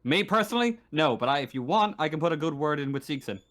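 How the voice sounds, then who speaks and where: Man playing defensive sci-fi worker, raised pitch. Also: animated, quick pace, fairly bright tone. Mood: surprised, bargaining. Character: defensive, dismissive male worker in a sci-fi game.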